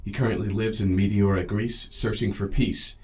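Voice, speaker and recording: A man's voice: on the low side, steady tone, moderate pace, close to an everyday voice. A man playing a sober interviewer who introduces recovered tapes in scripted audio drama narration.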